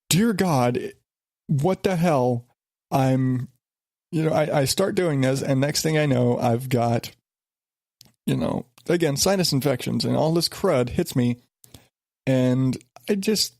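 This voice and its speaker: Male podcast host, congested, rambling and exasperated, mid-pitched, dry booth sound. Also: a little mellow, dry recording. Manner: rambling, exasperated, many restarts. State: stuffed up with a sinus infection. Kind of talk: casual solo podcast.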